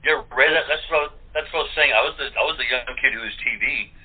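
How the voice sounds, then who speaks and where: A man's voice: mid-range voice, quick pace, husky. A man in casual conversation on a thin, phone-like line. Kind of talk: casual chat.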